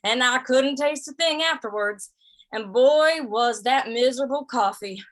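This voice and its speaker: A woman's voice: high voice, unhurried. A woman playing a sly, good-natured western drifter in a radio play, folksy and hesitant, often repeating words. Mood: amused, confiding. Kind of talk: old-time radio western acting.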